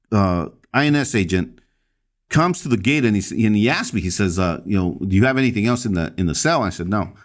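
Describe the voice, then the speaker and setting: A man from El Salvador, a pastor, recounting his story as a podcast guest in a halting way, with many fillers and restarts. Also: medium pitch.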